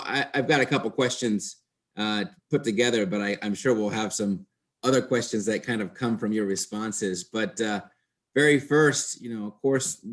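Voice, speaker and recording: A man interviewing a guest conversationally, rephrasing his questions as he rambles along. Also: on the low side. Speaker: podcast host, interviewer.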